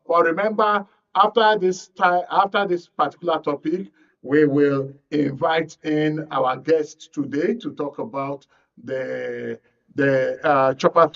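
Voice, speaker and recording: A man with a Nigerian accent hosting and moderating a talk show, introducing the next segments in a halting way. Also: mid-range voice, dull audio. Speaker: radio and TV host.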